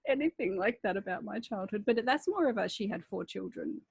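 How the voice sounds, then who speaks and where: Woman, husky voice in a dry recording, for candid, casual storytelling with a wry edge. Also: medium pitch, expressive, fairly quick, no room sound. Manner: wry, self-deprecating. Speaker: writer in conversation. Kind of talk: casual, candid anecdotal chat.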